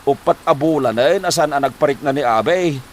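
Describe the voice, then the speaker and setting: A man's voice: a little high, brisk, lightly acted. A man acting a worried, debt-ridden husband in an Ilocano comedy drama, with an Ilocano accent and a flustered, stammering, sighing manner. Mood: anxious, pleading. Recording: noticeable background noise.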